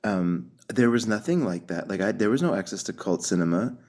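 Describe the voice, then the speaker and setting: A man hosting a film podcast, his voice sitting deep and low. He talks casually and eagerly, full of curious questions and quick asides. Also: expressive, a little mellow. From United States. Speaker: podcast host. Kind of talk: casual interview chat.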